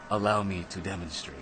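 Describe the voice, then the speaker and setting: An actor playing an excitable, self-important eccentric in a radio drama, rambling and stammering through grand schemes with theatrical delivery. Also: male voice, low voice, gravelly, slight background noise, exaggerated voice. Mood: eager, sly.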